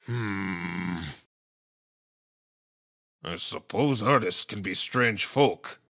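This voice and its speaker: A man's voice: fairly low pitch, drawn-out words, creaky, sharp tone, soft background music, full character voice. A man voicing a solemn, puzzled elderly judge in a video game dub, speaking formally. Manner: formal, pronouncing. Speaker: fan dub voice actor.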